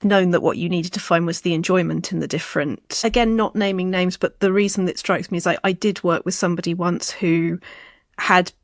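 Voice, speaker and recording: A woman's voice: fairly low pitch, fairly even intonation, a little fast, no echo, clean studio sound. A woman hosting a podcast interview, talking conversationally with her guest.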